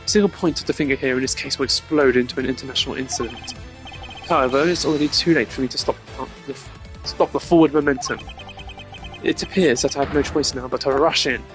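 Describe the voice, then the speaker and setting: Guy doing a stiff formal game prosecutor, measured and declamatory, mid pitch, music behind. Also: lightly acted. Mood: grave, accusing. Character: composed, formal male prosecutor hero of a courtroom game.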